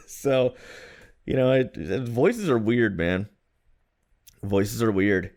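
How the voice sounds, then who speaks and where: A man's voice: mid-range voice, animated, takes it slowly, creaky, a little mellow. A man in his thirties from Florida, co-hosting a podcast in a rambling, self-deprecating casual chat. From Florida, USA. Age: 30s. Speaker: podcast co-host.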